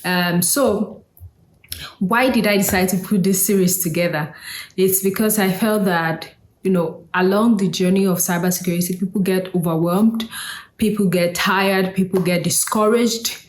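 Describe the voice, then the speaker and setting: A woman's voice: medium pitch, moderate pace, roomy sound. A woman from Nigeria, hosting a casual solo talk in a conversational way, with fillers and asides. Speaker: host.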